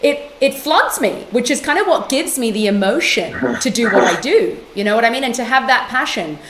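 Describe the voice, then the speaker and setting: A middle-aged woman from London, speaking as an interview guest in a passionate, emphatic way with quick restarts. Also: fairly high pitch, animated, fairly bright tone, reverberant, a little hiss or hum, rough recording. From London, England. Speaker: guest author and yoga teacher. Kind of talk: conversational interview.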